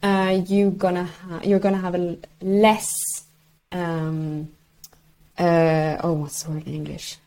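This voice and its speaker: Swedish-native woman, low mellow voice, halting explanations, lots of fillers. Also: level tone. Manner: hesitant, searching for words, many fillers. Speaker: guest researcher. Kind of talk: interview explanation.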